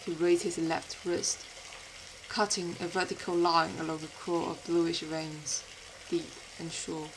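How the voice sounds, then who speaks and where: A woman who is not a native English speaker, with a British-influenced accent, reads fiction aloud in an expressive, literary storytelling manner. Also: fairly low pitch, unhurried, noisy background. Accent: British-influenced non-native English. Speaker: podfic reader. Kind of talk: dramatic scripted fiction narration.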